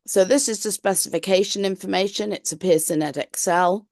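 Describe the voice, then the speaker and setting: A woman tutor gives an online introduction to a course, her voice croaky as she recovers from flu, explaining things in a friendly, reassuring way. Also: mid-range voice, brisk, darkish tone, no echo, studio quality. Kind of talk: online lesson talk.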